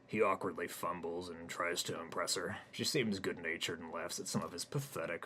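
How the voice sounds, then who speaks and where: A man reading his own crude comic story in a deadpan narrating style. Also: sits low. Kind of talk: comic stream-of-consciousness narration.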